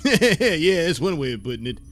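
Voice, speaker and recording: A man's voice: fairly high pitch, very animated, moderate pace, soft background music. A man playing a brash, crude, put-upon space hauler, folksy and grumbling, in a comic sci-fi audio serial. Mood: amused.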